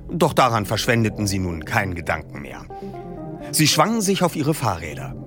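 A man hosting a podcast, reading passages from a book in a lively storytelling way. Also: fairly low pitch, moderate pace, over music. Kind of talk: audiobook reading and podcast presenting.

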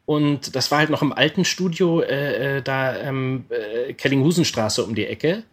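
Husky middle-aged man reminiscing. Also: medium pitch, a little slow. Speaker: voice actor guest. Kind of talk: casual reminiscing chat.

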